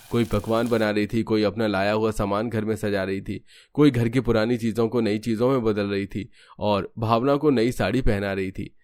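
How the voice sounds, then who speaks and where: A man's voice: fairly low pitch, darkish tone. A man giving scripted storytelling narration for a cartoon.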